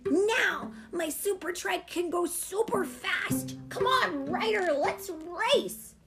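A woman voicing an excitable, impulsive little boy with an eager, childlike manner in a children's storybook. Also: very high-pitched, takes it slowly, music in the background, pitched above the performer's own voice, full character voice. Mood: excited.

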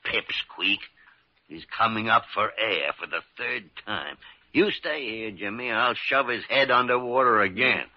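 An actor playing a crusty, scheming, commanding senior doctor in an old radio drama, gruff and blustering. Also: male voice, fairly low pitch, lively intonation, measured pace. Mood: wily, impatient. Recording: dry, muffled vintage radio recording.

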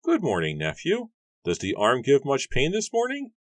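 Guy doing a grumpy big old farmer, squeezed way up high, curt and grudging. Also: wildly swooping pitch, moderate pace, no room sound. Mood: gruff. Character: gruff heavyset older male farmer, brusque.

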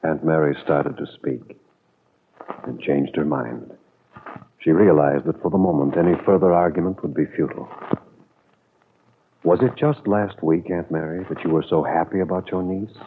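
A man's voice: sits low, normal speed, pauses often. A man giving solemn, scripted narration for an old-time radio soap opera. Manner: solemn, addresses the character directly. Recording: tinny, noisy vintage radio recording.

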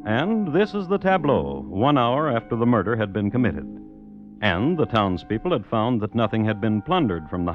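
A man narrating an old-time radio drama in a formal, deliberate storytelling style. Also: lowish voice. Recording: muffled old radio recording. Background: faint music.

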